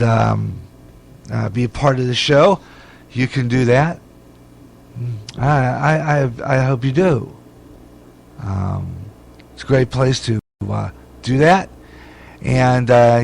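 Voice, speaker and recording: A man hosting an internet radio show in a casual, folksy way, rambling and halting at times. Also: mid-range voice, vocal fry. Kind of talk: casual radio host talk and station promos.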